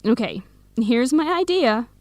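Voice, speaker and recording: A woman's voice: on the high side, very animated, measured pace, dry recording. An actress playing an earnest, playful young woman with coffee shop dreams in an educational radio drama. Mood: earnest, thoughtful.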